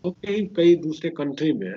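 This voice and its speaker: Guy with a pretty high voice explaining stuff in a chat, halting, repeats himself, dull lo-fi sound. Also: big pitch swings, slightly rough. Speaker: interview guest. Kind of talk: explanatory conversation on history. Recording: muffled lo-fi recording.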